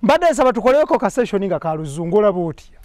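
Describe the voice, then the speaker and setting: A man's voice: high voice, very animated, very fast, a little mellow, no echo, clean studio sound. A young man with a Ugandan accent, hosting an interview outdoors and asking playful, teasing questions in Luganda and English. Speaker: TV host, interviewer. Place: outdoors in a leafy garden.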